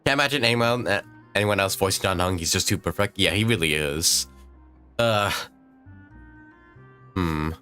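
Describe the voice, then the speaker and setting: A woman's voice: extremely low pitch, normal speed, pauses often, fairly bright tone, smooth, faint music behind. A woman streaming a game, chatting casually with frequent giggles and swearing. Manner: giggly, swears freely, hesitant fillers. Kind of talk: casual gameplay commentary.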